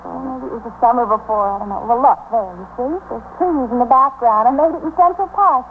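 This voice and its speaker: An actress playing a defensive, weary American city wife in a 1950s radio drama, plain-spoken and colloquial. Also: female voice, on the high side, a little fast, close to an everyday voice. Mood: grudging. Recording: tinny, hissy 1950s radio recording.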